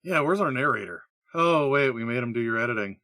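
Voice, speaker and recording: A man's voice: medium pitch, animated, a little fast, sharp tone, no echo, clean studio sound. A man playing an eager, playful gnome sidekick with a jokey, sing-song delivery. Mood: cheerful, jokey.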